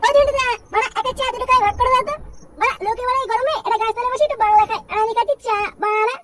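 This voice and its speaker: A woman voicing a crude, excitable village man in a comedy cartoon, slangy and blustering, with a sped-up cartoon sound. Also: very high voice, racing, recorded from a distance, heavy background noise. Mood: grumbling, blustering. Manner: slangy, foul-mouthed, blustering.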